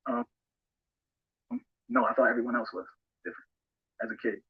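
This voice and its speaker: A man's voice: mid-range voice, level tone, normal speed, slightly rough. A man giving candid, matter-of-fact interview answers about himself, somewhat hesitantly. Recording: dull lo-fi played-back audio.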